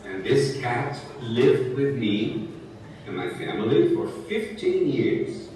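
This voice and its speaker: Slow, deliberate man in his sixties. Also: medium pitch, animated, a little mellow, a little hiss or hum. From Brazil. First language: Portuguese. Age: 60s. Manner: speaks slowly and clearly, checking understanding with "okay?". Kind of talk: talking to students in a language class. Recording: echoey, distant room sound.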